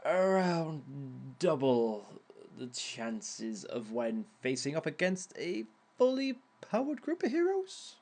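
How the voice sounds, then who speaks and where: Guy doing a smug villain teaching a dating class, slow and drawn out, voice swoops up and down. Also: fairly high pitch, sing-song, darkish tone, full character voice. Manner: theatrical, sly, chuckling asides. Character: smug male villain giving a dating seminar. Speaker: villain relationship lecturer. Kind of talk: audio roleplay monologue.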